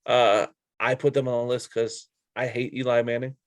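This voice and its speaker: A man's voice: mid-range voice, little pitch movement, normal speed, dry recording, clean studio sound. A man co-hosting a casual sports talk show, with a blunt, opinionated way of talking. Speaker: sports podcast co-host. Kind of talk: casual sports chat, counting down a list.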